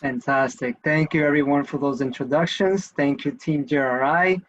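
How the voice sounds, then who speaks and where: A man hosts a panel over a muffled video call, his voice sitting a little high. He talks earnestly, pausing on fillers and restarting phrases. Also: fairly even intonation. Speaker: event host. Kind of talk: moderating a virtual panel.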